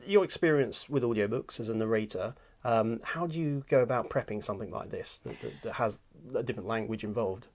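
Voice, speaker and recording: A British man hosting a casual chat in an audiobook studio, joking and chatting quickly. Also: lowish voice, a little mellow. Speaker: host and audiobook producer.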